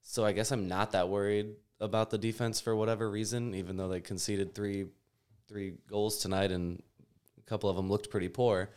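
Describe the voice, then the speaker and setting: Smooth, lowish-voiced man hosting a podcast. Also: level tone, normal speed, a little mellow. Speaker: soccer podcast host. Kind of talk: casual unscripted discussion. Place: in-person group podcast setup. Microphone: podcast microphone.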